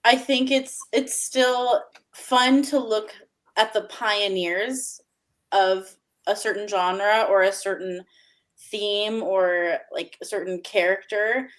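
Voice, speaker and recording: A woman with a mid-range voice shares her views in a casual book chat. She sounds measured and reflective as a panelist. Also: medium pitch, fairly even intonation, a bit bright.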